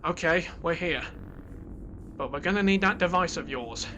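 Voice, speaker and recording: A man's voice: a little high, normal speed, sharp tone, slight background noise. An actor playing a practical, doubting crewman of a research ship in an audio drama, matter-of-fact in manner.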